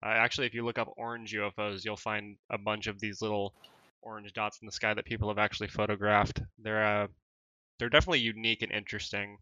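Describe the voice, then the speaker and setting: A man's voice: fairly low pitch, flat delivery, quick pace, pushed and bright, no room sound, dull audio. A male podcast co-host asking curious, probing questions. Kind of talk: casual podcast interview.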